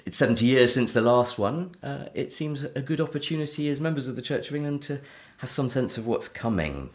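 A man's voice: medium pitch, animated, a little fast. A middle-aged man, a Church of England vicar, hosting a theology podcast and speaking in an earnest, articulate way. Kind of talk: conversational theological discussion.

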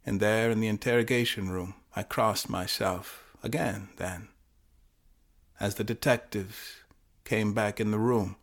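A man performing a dramatic first-person story as a troubled, intense teenage boy with foresight, in a halting, deliberate manner with dramatic pauses. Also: fairly low pitch, fairly even intonation. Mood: somber, reflective. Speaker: writer performing own short story. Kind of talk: dramatic first-person monologue, flash fiction podcast.

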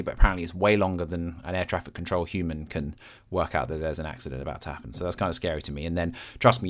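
Low-voiced man explaining things casually. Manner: plain-spoken, explaining in simple everyday terms. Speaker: podcast host. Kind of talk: casual explanatory podcast monologue.

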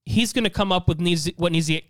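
Bright, high-voiced man chatting casually. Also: no echo. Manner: halting, heavy use of "like". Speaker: podcast co-host. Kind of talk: casual chat.

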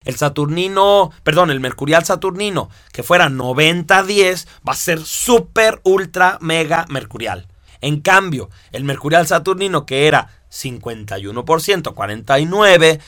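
A man teaching a course, explaining step by step with lively rhetorical questions. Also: a little high, normal speed, no echo, studio quality. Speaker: instructor. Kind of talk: lecture to students.

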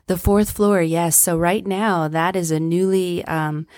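A woman's voice: on the low side, fairly even intonation, a little slow, darkish tone, dry recording, clean studio sound. A woman from Colorado, a library director, answering interview questions in a friendly, informative way. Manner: friendly, informative, some fillers. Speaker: library executive director, podcast guest.

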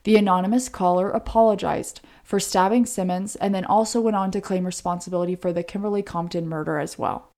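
A woman's voice: lowish voice, steady tone, fairly quick, few pauses, dark tone. A woman co-hosting a podcast, narrating true crime in an informal way with chatty asides. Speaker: podcast co-host.

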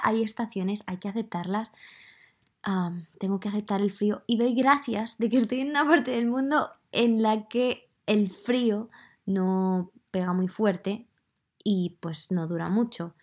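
A woman's voice: mid-range voice, a little slow. Chatty woman with a Madrid accent. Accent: Madrid Spanish, slightly softened. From Madrid, Spain. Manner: chatty, conversational, addresses listeners directly. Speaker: podcast host. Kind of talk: casual solo podcast monologue.

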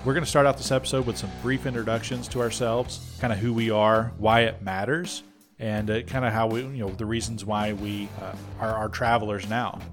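Laid-back low-voiced young man host. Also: fairly quick, faint music behind. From North Georgia, USA. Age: 20s. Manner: relaxed, rambling, with fillers. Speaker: podcast host. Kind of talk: casual podcast chat.

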